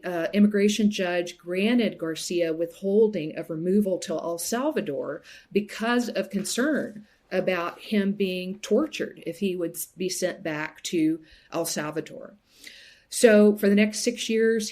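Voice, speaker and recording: A woman speaking as an expert guest, explaining things step by step in a measured, conversational way. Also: fairly low pitch, a little mellow. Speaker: guest legal and policy expert.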